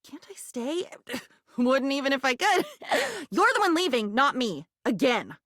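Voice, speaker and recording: A woman's voice: high voice, very animated, measured pace, a bit bright, dry recording, clean studio sound, full character voice. A woman voicing an anxious, lonely living diary in a video game, rambling and disjointed, lurching between moods and volumes in a theatrical way. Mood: wounded, reluctant.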